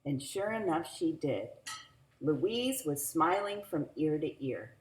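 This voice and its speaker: A woman reading a picture book aloud to young children in an animated, teacherly way, adding little asides. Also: low voice. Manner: animated, teacherly, drawn-out phrasing. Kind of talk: expressive read-aloud with asides to young listeners. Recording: roomy home recording with echo.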